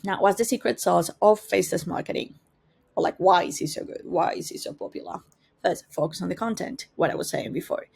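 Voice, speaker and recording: A woman's voice: on the low side, brisk, creaky, darkish tone, breathy edge. A woman with a strong Spanish accent, a native Spanish speaker, teaching an informal marketing class in a conversational way and often searching for words. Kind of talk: informal master class talk.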